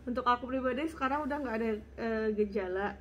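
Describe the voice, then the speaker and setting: A young woman talks casually through viewer questions in a stuffy, congested voice. Her voice sits fairly high and she sounds slightly out of breath. Faint household ambience hums under her. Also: quick pace, slight background noise. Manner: chatty, reads questions out. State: congested, nasal voice; short of breath. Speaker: vlogger. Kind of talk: casual Q and A chat.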